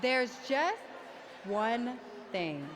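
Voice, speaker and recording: A woman's voice: a little high, sing-song, extremely slow, heavily acted. A woman playing a proud, demanding wrestler issuing a challenge in a scripted video game promo. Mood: defiant. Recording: long arena reverb, noisy background.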